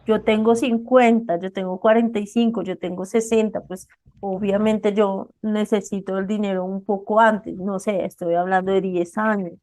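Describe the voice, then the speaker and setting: Mellow-voiced woman podcast host. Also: medium pitch, steady tone, normal speed. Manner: conversational, asks questions to the guest. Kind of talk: casual podcast interview.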